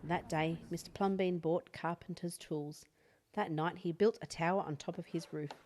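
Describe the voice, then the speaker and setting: A woman reading a picture book aloud as an expressive children's storyteller. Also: on the low side, normal speed, slight background noise. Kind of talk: expressive read-aloud bedtime story narration.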